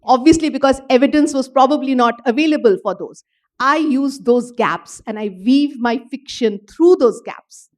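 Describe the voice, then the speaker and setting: A woman's voice: sits high, moderate pace, darkish tone. A woman author, earnestly explaining her writing process at a literature festival panel. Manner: explanatory, earnest, uses "you know" fillers. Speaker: author answering audience questions. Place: event hall with audience.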